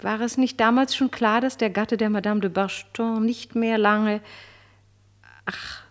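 An actress in a German radio play playing a flattering, scheming French marquise with an affected, condescending manner. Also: female voice, fairly high pitch, a little slow, lightly acted. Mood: worldly condescension. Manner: affected, gracious, condescending, teasing.

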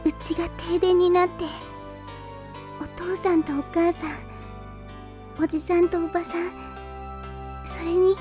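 Very high, gentle, fragile anime girl. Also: flat delivery, a little slow, pauses often, music in the background. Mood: tearful, moved. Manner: soft-spoken, polite, hesitant. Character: gentle, devoted, emotionally fragile teenage girl. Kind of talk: anime audio drama acting.

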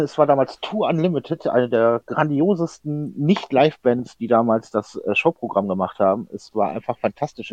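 A man's voice: mid-range voice, darkish tone, no echo. A man chats loosely, spinning long anecdotes full of small restarts and fillers. His voice sits in a dry, close space with a muffled, lo-fi quality. It sounds like a home-made podcast recording. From Germany. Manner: chatty storyteller, many fillers and restarts. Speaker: podcast co-host. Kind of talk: casual chat.

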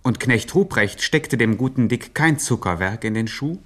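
An actor playing a pedantic co-narrator in a satirical radio play, formal and deadpan. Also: male voice, medium pitch, moderate pace. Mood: dry, deadpan.

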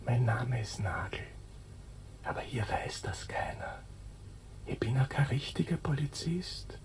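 A man's voice: medium pitch, expressive, measured pace, in a whisper, close to an everyday voice. An actor playing an earnest young novice policeman with a Viennese accent in a satirical radio play. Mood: secretive whisper. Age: young adult. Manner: earnest, recites slogans. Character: eager, sloganeering young novice policeman with a secret.

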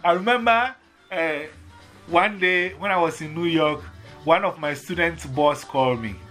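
A man's voice: a little high, normal speed, pushed and bright, soft background music. A man from Ghana with a Ghanaian accent, telling stories in casual chat and acting out both sides of the conversations.

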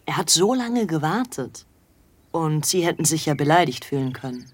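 Deep-voiced, cool young socialite woman. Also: very animated, normal speed, slight background noise. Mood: confiding.

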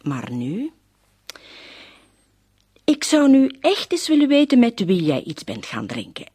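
Suspicious wife, lively swooping intonation, slightly husky, probing, pointed, radio play. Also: female voice, mid-range voice, takes it slowly, no echo, heavily acted. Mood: suspicious, needling. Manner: questioning, pointed. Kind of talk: radio drama acting.

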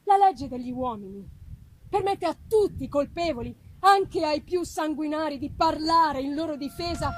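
A woman voicing Frankenstein's creature, a wretched, eloquent male monster, in a solemn, halting, declamatory gothic reading. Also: high-pitched, slight background noise, natural voice, low-quality recording. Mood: pleading. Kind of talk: dramatic reading of a gothic novel.

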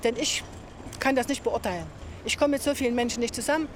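A woman's voice: a little high, fast. A woman giving casual answers as a passer-by in an outdoor street interview. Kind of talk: casual vox-pop answers. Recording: noisy outdoor street recording.

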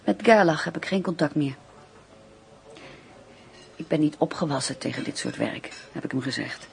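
A woman's voice: deep voice, lively intonation, moderate pace, creaky. A woman acting in a radio play as a loyal, troubled confidante, speaking in an earnest, hesitant way.

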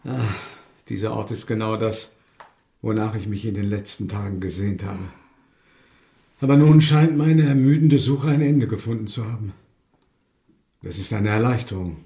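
An actor playing a genteel, weary and odd gentleman scientist in a radio drama, formal, courteous and deliberate. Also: fairly low pitch, vocal fry. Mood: weary, agitated. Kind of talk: German radio drama acting. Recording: roomy binaural interior.

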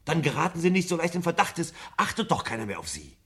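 An actor playing a restless, idealistic rebel in a German radio drama, speaking in an earnest, pleading way. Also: male voice, fairly high pitch, sing-song, fast talker, a bit bright. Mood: insistent. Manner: urgent, pleading, insistent.

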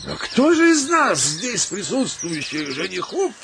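A man's voice: high-pitched, huge pitch swings, unhurried, vocal fry, background noise, exaggerated voice. A man playing a pompous, bossy suitor and amateur poet in a comic radio play, declaiming theatrically with hesitant exclamations. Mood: pompous, excited. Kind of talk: comic stage farce acting for radio.

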